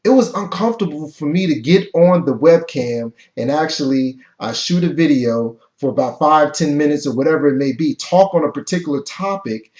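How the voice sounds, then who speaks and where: A man giving a motivational talk over a webcam, energetic and preachy, with an occasional stutter. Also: a little high, normal speed, few pauses. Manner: energetic, preachy, repeats key phrases. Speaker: motivational coach. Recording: echoey, dull webcam audio.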